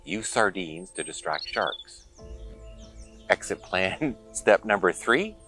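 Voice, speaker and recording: Animated low-voiced man reading a children's story. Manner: expressive, dramatic pauses and exclamations. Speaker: storyteller reading a picture book aloud.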